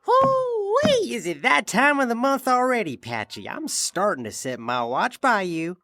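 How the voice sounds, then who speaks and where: A man's voice: very high-pitched, huge pitch swings, measured pace, bright, forceful tone, a little hiss or hum, full character voice. A man playing a chatty, curious Old West shopkeeper in an audio drama, folksy and exclaiming, with a rural American accent. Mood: jovial. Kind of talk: western audio drama acting.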